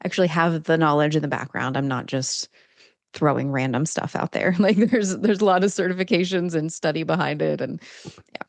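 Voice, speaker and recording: Female author-guest, low and gravelly, eager, list-like explanations. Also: normal speed, no echo. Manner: eager, affirming, explains in lists. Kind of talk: casual interview chat.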